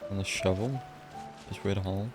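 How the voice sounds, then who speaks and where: A man's voice: sits low, normal speed. A man casually commentating on a game as he plays, laid-back and half talking to himself. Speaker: game streamer. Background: game music.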